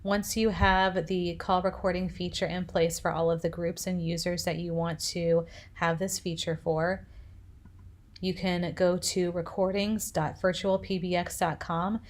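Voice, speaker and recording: Lower-pitched woman narrating a software tutorial. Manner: step-by-step instructing. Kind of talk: instructional screencast narration.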